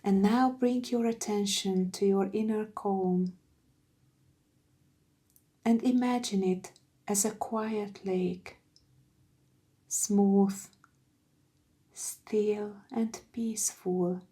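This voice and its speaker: A woman guiding a meditation in a soft, soothing, gentle voice with breathy phrase endings. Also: mid-range voice, steady tone. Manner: soothing, gentle, unhurried with long pauses. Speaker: meditation guide. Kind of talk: guided meditation narration.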